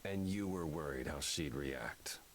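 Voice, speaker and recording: Very deep, weary, melancholy god. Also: male voice, measured pace, a little hiss or hum. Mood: mild, wry. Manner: subdued, hesitant, polite. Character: weary, melancholy, apologetic god, a brooding brother. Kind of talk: video game voice acting.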